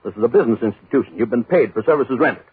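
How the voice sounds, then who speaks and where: An actor playing a bullying, bad-tempered middle-aged publisher, barking imperiously in 1940s radio style. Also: male voice, mid-range voice, rapid-fire, breathy edge. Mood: stern. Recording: scratchy, noisy narrowband 1940s radio recording.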